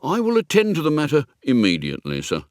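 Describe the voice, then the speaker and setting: A man's voice: a little high, huge pitch swings, fast, dry recording, studio quality, voice pushed up. A man voicing a dignified, austere English butler with a formal, deferential manner in a comic audiobook. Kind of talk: comic audiobook character acting.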